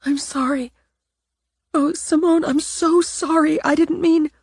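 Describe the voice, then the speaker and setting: A woman voicing a tearful, frantic young woman who pleads in a high, sobbing voice. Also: steady tone, slow, darkish tone, no echo, studio quality.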